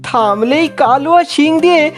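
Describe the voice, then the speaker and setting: A woman playing a stern, sharp-tongued royal court official in a cartoon, accusing and commanding. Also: sits high, expressive, a little slow, faint music behind. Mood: scornful. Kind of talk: cartoon comedy dialogue.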